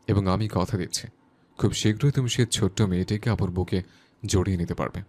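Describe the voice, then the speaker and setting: A man playing a calm, earnest young man in love in an audio story. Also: deep voice, a little fast. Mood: tender, promising. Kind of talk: audio story character dialogue.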